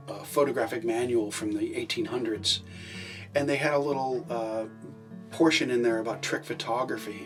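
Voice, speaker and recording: A man giving an explainer narration as a filmmaker and presenter. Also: medium pitch.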